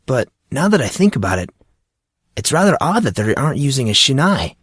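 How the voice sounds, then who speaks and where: A man acts out a teenage boy for an audiobook, an enthusiastic martial arts student. He talks in loose, slangy bro-talk with lively swings in pitch. The sound is dry and close. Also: a little fast, husky, dry recording. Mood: eagerly explaining. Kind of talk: audiobook character dialogue.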